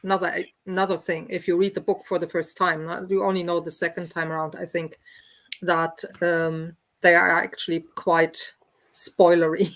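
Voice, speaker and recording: A woman's voice: lowish voice, little pitch movement, normal speed, fairly bright tone. Woman in a casual book discussion. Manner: frequent tag question "no?". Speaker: host of a book read-along discussion.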